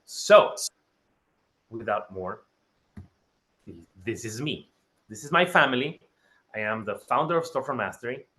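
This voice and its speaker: A man's voice: mid-range voice, animated, unhurried, pauses often, pushed and bright. A man from Ecuador giving an enthusiastic webinar presentation over a video call, often repeating words for emphasis. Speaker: guest speaker.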